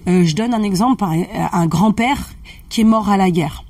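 A woman's voice: medium pitch, moderate pace, dry recording, far from the mic. Chatty woman explaining transgenerational healing. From family of Algerian roots. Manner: animated, checks in often with the listener. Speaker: holistic family-constellation practitioner. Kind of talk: casual conversational explaining.

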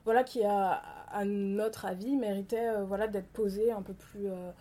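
A woman's voice: medium pitch, steady tone, normal speed, mellow. A woman author speaking on a panel about her research, hesitating often with fillers. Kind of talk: academic panel discussion.

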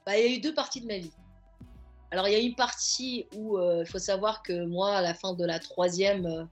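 A woman's voice: medium pitch, steady tone, fairly quick, soft background music. A woman therapist and coach, a podcast guest, speaking earnestly in reflective interview talk and often circling back to find her words. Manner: earnest, searching for words, stacking restarts.

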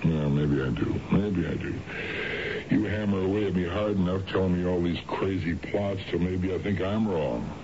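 An actor playing a middle-aged husband, colloquial and dismissive, bickering in a radio drama. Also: male voice, sits low, moderate pace. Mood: exasperated, dismissive. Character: exasperated middle-aged husband, a science fiction fan. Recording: dull lo-fi radio with clear noise.

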